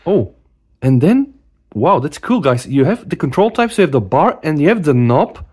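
A middle-aged man giving a casual hands-on phone demo in an animated, exclamatory way. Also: mid-range voice. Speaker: tech reviewer. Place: small room at a desk, indoors.

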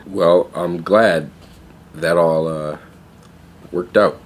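An actor playing an unimpressed young pet store clerk in an audio drama, terse, blunt and deadpan. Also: male voice, deep voice, very slow, full character voice. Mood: dry, guarded. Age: young adult.

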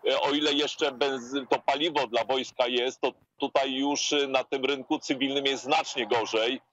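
A man's voice: mid-range voice, fairly even intonation, fairly bright tone, slight background noise. Man reporting live over a lo-fi video link. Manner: hesitant, many fillers and pauses. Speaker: war correspondent. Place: outdoors on a residential street with trees. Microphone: earphone headset on a video link. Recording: lo-fi video call audio.

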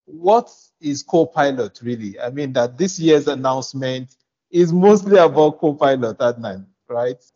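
A man's voice: fairly high pitch, dark tone. A middle-aged man hosting a casual tech discussion over a video call from an office. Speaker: tech show host. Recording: slightly dull video-call audio.

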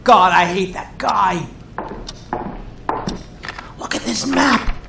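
A woman playing a quick-witted, irritable, tough pilot, thinking aloud with salty language in an action audio drama. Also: mid-range voice, vocal fry, full character voice. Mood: irritated, puzzling. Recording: echoey room, faint noise.

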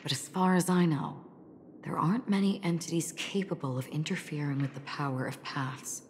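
A woman playing a calm, enigmatic warrior in a sci-fi fantasy game, speaking in a grave, measured, formal way. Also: low-pitched, a little hiss or hum. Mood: calm, serious. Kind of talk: video game voice acting. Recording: game audio with music behind.

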